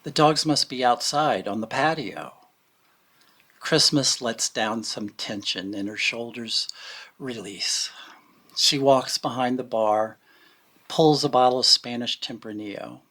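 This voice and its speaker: A man with a mid-pitched voice narrates a scripted radio drama. He tells the story in a measured way, setting out each scene as a storyteller would. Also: a bit bright. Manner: measured storytelling in present tense, acting out brief quotes.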